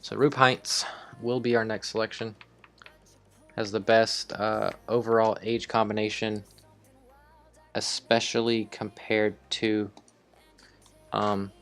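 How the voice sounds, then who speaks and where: A man hosting a video game series, thinking aloud in casual, rambling commentary with some offhand swearing. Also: lowish voice. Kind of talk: casual improvised gameplay commentary.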